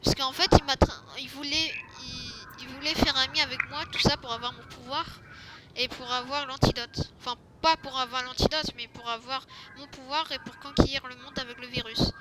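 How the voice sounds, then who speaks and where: A woman's voice: high voice, fairly even intonation, a little fast, background noise. A woman improvising a game roleplay in a hesitant way, with many fillers and restarts. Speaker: roleplay player.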